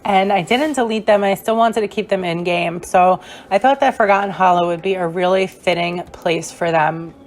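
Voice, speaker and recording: A young woman with a hoarse, fading voice, giving a chatty, rambling gameplay tour voice-over with many fillers. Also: lowish voice, steady tone, moderate pace, few pauses, a little hiss or hum. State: hoarse, losing her voice. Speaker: gaming creator.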